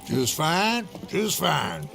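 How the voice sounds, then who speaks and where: An actor playing a gruff, weary bounty hunter in a game, terse and grumbling. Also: male voice, on the high side, wildly swooping pitch, extremely slow, music in the background, full character voice. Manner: terse, grunting, sighing.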